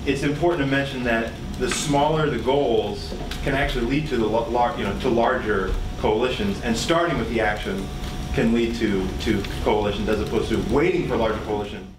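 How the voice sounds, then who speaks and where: A man's voice: mid-range voice, a little fast. Distant man speaking up in class. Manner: thinking aloud, self-correcting. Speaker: workshop participant. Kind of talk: classroom discussion comment. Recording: distant roomy audience pickup with noise.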